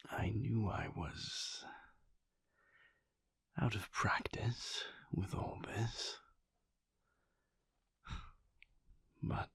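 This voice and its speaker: A man playing a mysterious, brooding vampire lover in an intimate audio roleplay, tender and hesitant, with halting, formal speech in a husky, breathy whisper. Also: on the low side, dry recording, full character voice. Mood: hesitant, wistful. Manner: halting, measured, formal wording.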